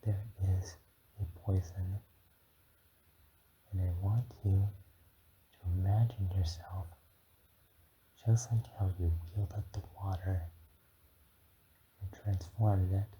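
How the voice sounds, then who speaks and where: A man voicing a gentle, patient dragon mentor in a slow, whispered and soft-spoken ASMR guided meditation. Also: deep voice, level tone, muffled recording, slight background noise. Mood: soothing, instructive. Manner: slow, soothing, drifting between whisper and murmur.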